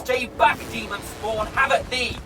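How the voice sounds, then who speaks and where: An actor playing a hero's excitable, theatrical friend in a fantasy video game, florid and playfully grandiose. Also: male voice, very high voice, measured pace, background noise. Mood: mock-heroic. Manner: florid wording, mock-heroic teasing. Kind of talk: video game cutscene acting. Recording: distant voice with music behind.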